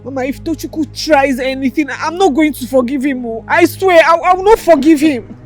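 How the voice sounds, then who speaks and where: An actress playing an anxious young woman who is worried about her brother and talks in a slow, fretful way. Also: high voice, faint music behind, close to an everyday voice. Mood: resolute.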